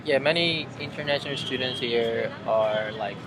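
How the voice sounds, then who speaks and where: A young man whose first language is Korean gives short, halting answers in an interview. His voice sits at a middle pitch. Loud background noise runs under it. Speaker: student interviewee.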